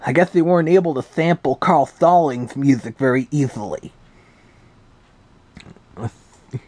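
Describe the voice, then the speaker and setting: A man's voice: medium pitch, moderate pace, a little mellow, dry recording, natural voice. A man voicing a sarcastic male cartoon cat who reviews a children's read-along, talking with a slurred lisp and dry asides. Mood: wry. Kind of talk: fan review commentary in character. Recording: faint music underneath.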